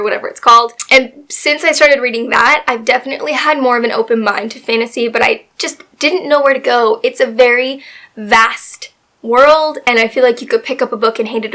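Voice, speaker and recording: A woman getting over a cold, her voice a little raspy, chatting casually and gushing about books she loved, with lots of fillers. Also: fairly high pitch, bright, forceful tone. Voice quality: slightly raspy. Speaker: book video host. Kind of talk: casual book review chat.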